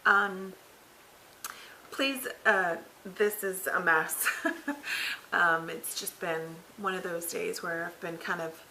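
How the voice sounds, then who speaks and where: A woman in her thirties talks casually from her couch with a gravelly, raspy voice that cracks now and then. Her tone is bright and a little tense, and a faint hiss sits under the webcam sound. She rambles and corrects herself, sounding worn out. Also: fairly low pitch, steady tone, slow, long pauses, poor audio. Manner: rambling, filler-heavy, self-correcting. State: recovering from throat surgery, tired. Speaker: vlogger. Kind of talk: casual health update vlog. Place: living room, seated on couch by window.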